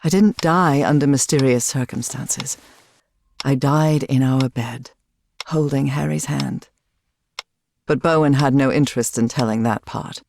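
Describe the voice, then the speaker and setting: An actress playing a wry, knowing woman from beyond the grave who narrates a video game story in a measured way. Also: rumbling low, gravelly, a little mellow, a little hiss or hum, heavily acted. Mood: gently firm. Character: dead wife of a professor, wry knowing female narrator.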